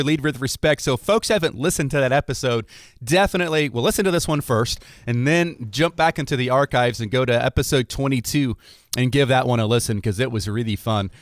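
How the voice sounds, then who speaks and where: A man from Ohio hosts a podcast interview in an easygoing, chatty way, full of "uh"s and restarts. His voice sits at a middle pitch and sounds close and dry, with no room around it. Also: dry recording. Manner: relaxed, many fillers and restarts. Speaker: podcast host. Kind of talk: casual interview chat.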